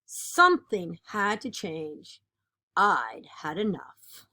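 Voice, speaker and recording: A woman voicing an earnest, dutiful egg from a children's picture book, with expressive and deliberate storytelling. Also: on the low side, heavily acted. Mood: weary, fretful.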